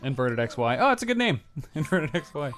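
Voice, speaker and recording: A man's voice: on the high side, sing-song, fairly quick, fairly bright tone, faint music behind. A man co-hosting a gaming livestream, chatting casually and reading out viewer comments. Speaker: livestream co-host.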